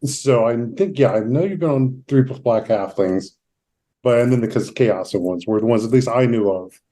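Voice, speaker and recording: A man hosting a conversational interview, measured and attentive, offering brief affirmations between his questions. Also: medium pitch, mellow. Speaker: podcast host, therapist.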